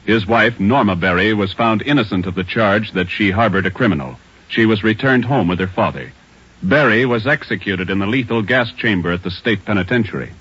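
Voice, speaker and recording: Low-voiced official-sounding male radio announcer. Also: a little fast, husky. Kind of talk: formal scripted announcement. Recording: muffled old radio broadcast with music. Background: loud music.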